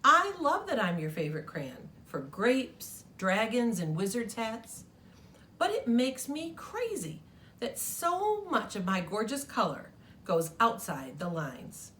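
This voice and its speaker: A woman's voice: mid-range voice, wildly swooping pitch, slow pace, a bit bright, a little hiss or hum, heavily acted. A woman reading as a fussy purple crayon. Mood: exasperated. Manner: exasperated, fussy.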